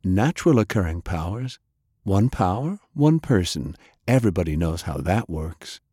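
A man's voice: low-pitched, very animated, normal speed, mellow, husky, dry recording, studio quality, exaggerated voice. A man voicing an easygoing, plain-talking male magic knight who explains things conversationally, in an audiobook. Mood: explaining.

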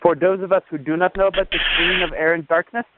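Male host, easy and keen, higher pitch; dry phone sound. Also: fairly even intonation, quick pace, dry recording. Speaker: podcast host. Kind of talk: casual book discussion. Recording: dry tinny phone line.

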